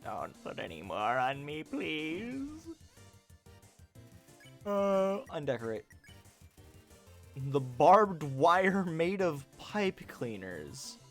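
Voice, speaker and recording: A man's voice: on the high side, wildly swooping pitch, extremely slow, long pauses, soft background music. Joking man streaming a game. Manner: reads game text aloud and riffs jokingly. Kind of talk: casual livestream chat while playing a game.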